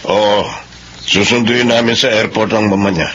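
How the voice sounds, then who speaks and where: A man's voice: fairly low pitch, steady tone, normal speed, a bit bright, highs cut off, natural voice. Stern Filipino father acted by a man, noisy recording. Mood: curt, suspicious. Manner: stern, interrogating. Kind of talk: radio drama acting. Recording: clear background noise.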